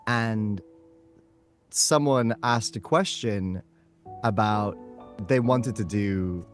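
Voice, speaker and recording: A man's voice: fairly low pitch, drawn-out words, faint music behind. A man speaking casually and emphatically as a voice actor being interviewed.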